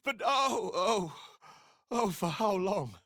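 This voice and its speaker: Theatrical vampire spawn woman, wildly varied pitch, slow dramatic pace, giddy temper, fantasy game. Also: animated, fairly bright tone. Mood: anxious. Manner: dramatic, exclamatory. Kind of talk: scripted fantasy game acting.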